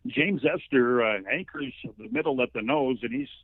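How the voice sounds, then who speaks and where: A man's voice: medium pitch, animated, normal speed, gravelly, dry recording. An American man, a play-by-play announcer, talking football as an interview guest in a folksy, talkative storytelling way. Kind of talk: conversational sports commentary interview. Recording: thin phone-like line.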